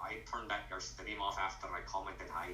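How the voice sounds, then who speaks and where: A young man in casual, halting chat as a guest on a friend's voice-call live stream. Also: deep voice, flat delivery, sharp tone, slight background noise. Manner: rambling, halting, restarts phrases. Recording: echoey room, far from the mic.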